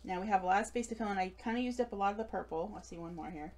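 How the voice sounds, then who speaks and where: A woman's voice: medium pitch, racing, a little mellow. A woman in her late thirties giving a live craft demonstration, chatty and giggly with self-deprecating asides, recorded at a table under an overhead camera. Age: late 30s. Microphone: overhead camera above table.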